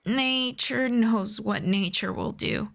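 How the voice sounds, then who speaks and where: A woman voicing a gentle, teasing young elf friend in an unhurried fantasy roleplay audio drama in ASMR style. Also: a little high, gravelly, full character voice. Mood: calm, thoughtful.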